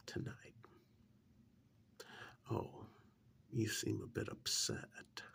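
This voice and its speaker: Man acting out a gravelly whispering sadistic killer. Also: fairly low pitch, a bit bright, exaggerated voice. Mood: quietly menacing, then calm gloating. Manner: slow, menacing, deliberate whispering with pauses. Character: cold, sadistic male serial killer, methodical and gloating. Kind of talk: soft-spoken ASMR role-play monologue.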